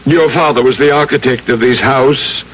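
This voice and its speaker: Man as haughty landed heir, scheming, husky voice, curt formal speech, radio drama acting. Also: mid-range voice, moderate pace, fairly bright tone, lightly acted, rough recording. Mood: calculating.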